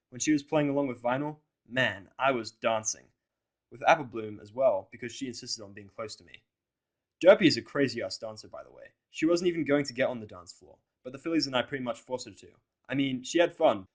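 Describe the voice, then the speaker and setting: A man reading a first-person story as scripted narration, in a blunt, sweary manner. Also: medium pitch. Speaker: audiodrama narrator of fan fiction.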